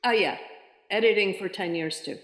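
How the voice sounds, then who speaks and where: A middle-aged American woman speaks at an ordinary pitch, halting often with fillers as she chats about her freelance teaching and editing work. Her voice rings around an echoey room with a long, lingering reverb. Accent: standard American. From New York area. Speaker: freelance ESL teacher and editor. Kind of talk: casual conversation with a client.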